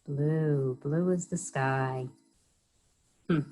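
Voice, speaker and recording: A woman reading a children's story aloud as a library storyteller, expressive and often pausing to put questions to young listeners. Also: low voice, breathy edge.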